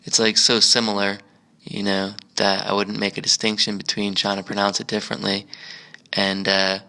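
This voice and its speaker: American man, low gravelly voice, flat delivery, rambling casual travel chat. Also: moderate pace. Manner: meandering, chatty, frequent fillers and restarts. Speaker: travel vlogger. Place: museum park.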